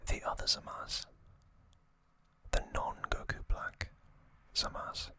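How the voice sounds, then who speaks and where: Slow whispering man, ASMR. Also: sharp tone. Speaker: ASMR host. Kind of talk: whispered ASMR trivia recap, casual.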